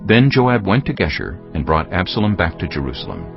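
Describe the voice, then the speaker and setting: A man narrating scripture as a solemn, measured storyteller in a dramatized audio production. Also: deep voice, wildly swooping pitch, creaky, muffled recording, music in the background.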